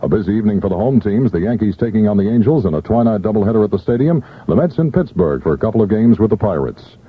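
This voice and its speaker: Male news anchor, lowish husky voice, formal rapid-fire bulletin read, muffled vintage AM sound. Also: lively intonation, no room sound. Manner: brisk, formal newsreader delivery. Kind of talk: scripted news and weather bulletin. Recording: muffled vintage AM radio broadcast.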